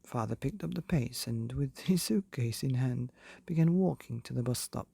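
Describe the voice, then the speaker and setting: Male storybook narrator: husky, mellow, measured delivery with deliberate pauses, for bedtime listening. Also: mid-range voice, expressive, no echo. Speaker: narrator of a bedtime stories podcast.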